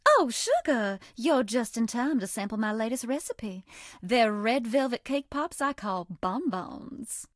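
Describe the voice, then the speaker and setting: A sugary, high-voiced Southern belle. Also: female voice, very animated, a little slow, no room sound, clean studio sound. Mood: sweet, teasing. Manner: coy, sugary, folksy. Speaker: voice actress. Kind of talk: video game character voice lines.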